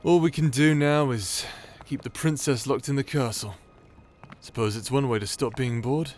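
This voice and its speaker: An actor playing a crude, irreverent rogue warrior in a fantasy audio roleplay, talking in a casual, sarcastic, teasing way. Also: male voice, mid-range voice, moderate pace, darkish tone, lightly acted. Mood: teasing, bored. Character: cheeky, crude, irreverent bastard warrior man, sardonic rogue.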